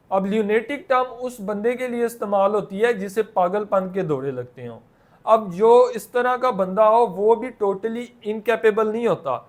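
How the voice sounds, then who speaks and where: A man with a Pakistani accent teaching law, explaining step by step in simple terms and often repeating himself. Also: high voice. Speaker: law lecturer.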